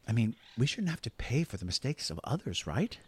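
An actor playing a blunt, callously practical husband with a husky voice in an audio play. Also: male voice, medium pitch, very animated, brisk. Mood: brusque, callous.

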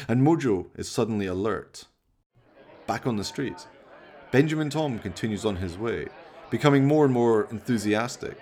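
A man narrates a radio play in a fairly low voice. It is scripted, dramatic storytelling. Faint noise runs behind him. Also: a little mellow.

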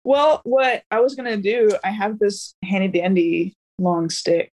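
A woman's voice: medium pitch, a little slow, a little mellow. A woman playing a tabletop roleplaying game, chatting casually as she explains what her character does.

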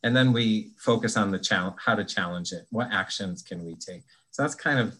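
A man's voice: fairly low pitch, moderate pace, sharp tone, poor audio. A man talking as a podcast guest, explaining ideas in a thoughtful, conversational way with frequent restarts. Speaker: guest, anti-bias trainer.

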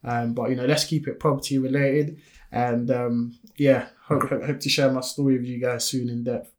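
A man's voice: medium pitch, level tone, moderate pace. Eager, chatty French-raised man. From France. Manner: eager and chatty, with many fillers. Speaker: podcast co-host. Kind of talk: casual podcast chat.

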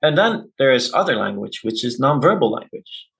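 Gravelly-voiced man explaining with examples. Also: mid-range voice, sing-song, moderate pace, a bit bright. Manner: explanatory, uses many examples and tag questions; non-native English speaker. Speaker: guest, intercultural communication consultant. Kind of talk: conversational interview.

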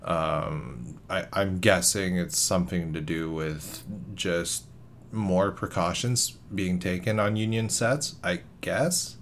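A man's voice: low-pitched, fairly even intonation, slow, creaky, a little hiss or hum. A man who is an actor, interviewing a guest in a casual, easygoing chat with curious questions.

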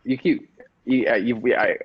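A hesitant, awkward everyman played by a man in improvised comedy. He speaks at a middling pitch, halting and stammering. The recording is slightly dull. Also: steady tone, breathy edge, close to an everyday voice. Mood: puzzled. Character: hesitant, awkward everyman, aspiring young supervillain intern.

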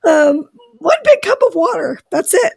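A woman on a podcast panel who is losing her voice and sounds raspy, chatting in a bubbly way. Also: very high-pitched, big pitch swings, a little slow, darkish tone, dry recording.

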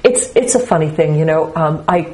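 An older woman from Dublin with a softened Irish accent, reading her memoir and then talking it over in a measured, thoughtful way. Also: low-pitched, lively intonation, low-quality recording. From Ireland, Dublin. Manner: measured, thoughtful, lets a sentence trail and restart. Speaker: author and interview guest.

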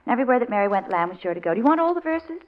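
An actress playing a pert, jealous detective's secretary in a 1940s radio drama, arch and teasing in manner. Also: female voice, on the high side, big pitch swings, racing. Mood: reading, dutiful. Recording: muffled old radio drama recording.

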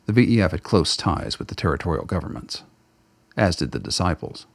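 A man with a gravelly, husky voice reading scripted narration for a radio play. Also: deep voice, sing-song, fairly quick, no echo.

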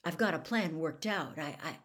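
A woman voicing a nervous, guarded teenage boy in an audiobook, halting and stammering. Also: deep voice, animated, fairly bright tone, husky, full character voice. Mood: nervous, evasive. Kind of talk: audiobook character acting.

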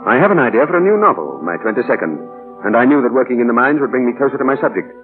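A man's voice: mid-range voice, very animated, rapid-fire, faint music behind, lightly acted. A middle-aged man acting in 1940s radio style as a witty, teasing English novelist nearing middle age, playful and theatrical. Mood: reflective. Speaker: radio actor. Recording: tinny, band-limited 1940s radio broadcast.

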